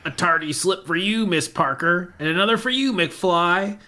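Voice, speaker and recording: A woman's voice: on the low side, normal speed, bright, forceful tone. Woman playing a stern, scornful school disciplinarian. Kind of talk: script table read.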